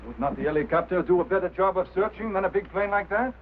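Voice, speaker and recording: An actor playing a stubborn, put-upon road crew foreman with a French Canadian accent and halting phrasing, in mid-century screen drama style. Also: high-pitched, lively intonation, narrow, tinny audio. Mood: insistent. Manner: halting, non-native phrasing. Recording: thin, noisy old film soundtrack.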